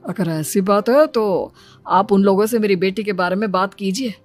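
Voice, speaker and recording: A woman's voice: mid-range voice, normal speed, close to an everyday voice. A woman voicing an ageing widowed father, loving and worried for his daughter, in a moral story. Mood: gentle, earnest. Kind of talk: moral story character dialogue. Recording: music behind the voice.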